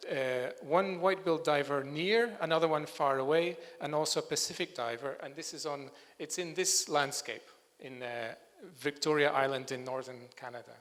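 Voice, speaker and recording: A man's voice: fairly high pitch, fairly bright tone. Hesitant man in a reverberant hall. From Scotland. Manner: hesitant, searching for words. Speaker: bird sound recordist giving a talk. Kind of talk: conference presentation. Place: lecture hall.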